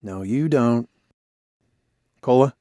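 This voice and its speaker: An actor playing a broken-down radio DJ, weary, sardonic and self-deprecating, in conversational audio drama dialogue. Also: male voice, medium pitch, slow pace, mellow, no echo, clean studio sound, lightly acted. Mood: wry, teasing.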